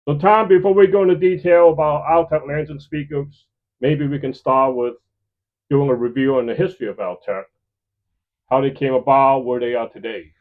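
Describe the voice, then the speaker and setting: Male host for a hobby hi-fi channel, mid-pitched, presenting to viewers, muffled lo-fi sound. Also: fairly even intonation, normal speed. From lives in the Chicago area, United States. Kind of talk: semi-scripted presenting to viewers. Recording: slightly dull, lo-fi voice recording.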